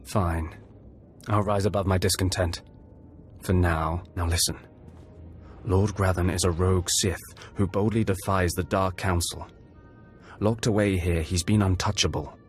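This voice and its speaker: Low-pitched Sith spy, condescending, sarcastic, video game cutscene, music behind. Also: male voice, steady tone, measured pace, long pauses. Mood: disdainful. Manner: sneering, condescending. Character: disdainful, sarcastic Sith undercover operative.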